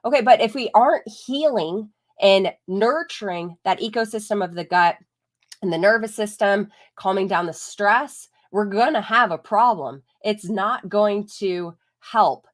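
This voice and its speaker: A woman's voice: mid-range voice, measured pace. Woman, 30s, holistic vet, stuffy from a cold, casual explainer tone. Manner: chatty, explanatory, frequent "like" and "right?". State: congested from a chest cold. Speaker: holistic veterinarian. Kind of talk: live-streamed educational talk.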